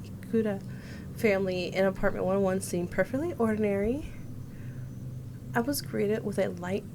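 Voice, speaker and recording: A woman's voice: medium pitch, moderate pace, noisy background. A woman in her thirties reading a visual novel aloud and adding casual commentary as a game streamer. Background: game music and Japanese game voices.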